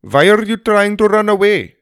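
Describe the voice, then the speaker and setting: A man's voice: sits high, sing-song, a little slow, creaky, no room sound, studio quality, voice pushed up, full character voice. A man voicing a cheerful, proud, scolding Indian elephant rider in a children's audiobook, with emphatic, theatrical delivery. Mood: friendly, puzzled.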